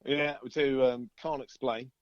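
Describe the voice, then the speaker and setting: A man's voice: medium pitch, steady tone, unhurried, dry recording, highs cut off. A man chatting casually in an interview as a band's singer, rambling and repeating himself as he goes. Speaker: band singer and songwriter being interviewed.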